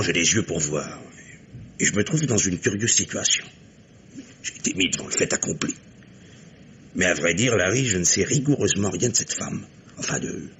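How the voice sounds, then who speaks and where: Radio drama wealthy father in his fifties: very low voice, animated swings, formal, deliberate, blunt. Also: a little hiss or hum. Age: 50s. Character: fifty-five-year-old industrialist father, positive, discreet, blunt. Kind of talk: 1950s French radio drama acting.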